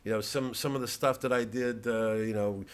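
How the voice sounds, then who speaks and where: A man's voice: on the low side, fairly even intonation, moderate pace, clear, resonant tone. An American man, a comedian, talking casually to his fans in a rambling, digressive monologue with some swearing.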